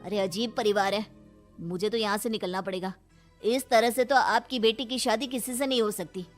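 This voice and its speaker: A woman's voice: on the high side, steady tone, moderate pace, close to an everyday voice. A woman voicing an offended, disdainful visitor scolding a family in a cartoon moral story. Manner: contemptuous, scolding. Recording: music bed behind voice.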